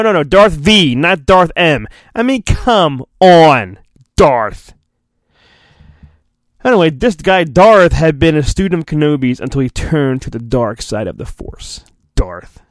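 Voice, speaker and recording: An animated, higher-voiced man does a mock-dramatic storybook reading for his podcast. He swings between theatrical narration and rambling, sarcastic asides, his pitch moving a lot. The recording is close and dry. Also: no echo. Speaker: podcast host.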